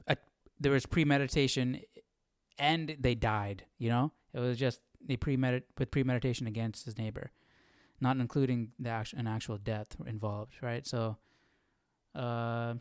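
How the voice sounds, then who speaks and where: A man's voice: medium pitch, smooth, no room sound, studio quality. A man hosting a live Bible study with casual commentary, reasoning aloud hesitantly and often checking himself with "right?".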